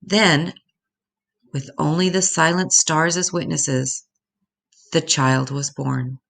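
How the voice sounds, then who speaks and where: A woman reading a children's story aloud as an expressive storyteller. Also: deep voice, takes it slowly, gravelly.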